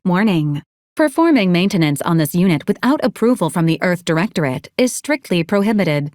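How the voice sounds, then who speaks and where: A woman voicing a polite, literal-minded robot medical support unit in a video game, with a formal, clinical, deadpan delivery. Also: lowish voice, no room sound, clean studio sound, close to an everyday voice.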